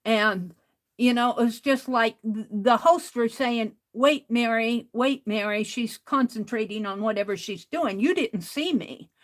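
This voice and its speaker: Loud-voiced woman chatting to her stream. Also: fairly high pitch, unhurried. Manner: loud voice, rambling with restarts. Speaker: art livestream host. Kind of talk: casual livestream chat to viewers.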